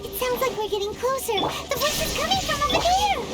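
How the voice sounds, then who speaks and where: A woman voicing a small, excitable, fairy-like companion in a video game, with a chirpy, eager way of talking. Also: extremely high pitch, fairly quick. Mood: alarmed. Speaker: travel companion.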